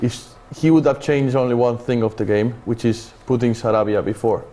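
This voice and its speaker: A man with a mellow, warm voice, talking football with friends. He lays out his reasoning carefully, hedging and circling back to his points. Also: mid-range voice. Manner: measured, hedging explanations. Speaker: panelist. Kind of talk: casual football chat.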